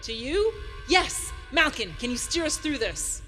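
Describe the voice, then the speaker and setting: A brash, bossy space pirate captain in an audio drama. Her fairly high, bright voice is commanding and combative, with lively swings in pitch. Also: unhurried, faint music behind, heavily acted. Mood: angry.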